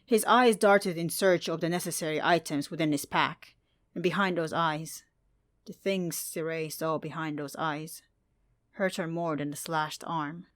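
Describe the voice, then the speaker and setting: Low-voiced woman narrating a story. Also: steady tone, unhurried, no room sound. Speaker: fan fiction podfic narrator.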